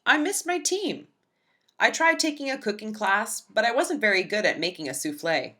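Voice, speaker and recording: Female storyteller for kids' picture books: lively, swooping delivery, bright forward tone, emphatic phrasing. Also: on the high side, moderate pace. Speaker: read-aloud storyteller. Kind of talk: expressive children's picture book read-aloud.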